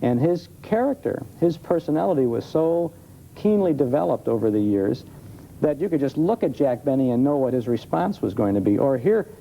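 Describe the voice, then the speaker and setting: A man's voice: mid-range voice, expressive, normal speed, gravelly, mellow, rough recording. A man speaking conversationally as an expert guest, telling stories with enthusiasm on an old television tape. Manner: enthusiastic storytelling. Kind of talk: conversational interview. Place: television studio. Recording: old TV tape, faint noise.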